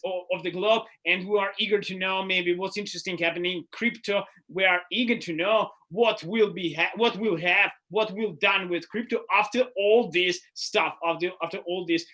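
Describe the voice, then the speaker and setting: Animated man with halting English. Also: high-pitched. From Eastern Europe. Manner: animated, halting, laughs often, non-native grammar. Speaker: co-host. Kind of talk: casual online panel chat. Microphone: video call.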